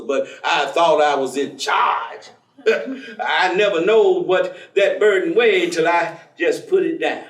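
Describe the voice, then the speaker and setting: Male actor as folksy ex-drinker preacher, stage play, very lively intonation, high voice, colloquial, persistent, distant echoey sound. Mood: wry, reflective. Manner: folksy vernacular, theatrical, drawn-out exclamations. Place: theatre stage.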